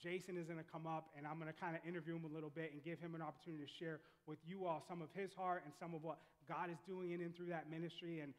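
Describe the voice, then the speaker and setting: An eager man leads a church-stage interview, stumbling over repeated words as he piles on the praise. His voice sits a little high for a man. It rings out with long reverb across a large sanctuary. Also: level tone, quick pace, hardly any pauses. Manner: enthusiastic, repeats words, warm affirmations. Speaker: pastor interviewing a guest.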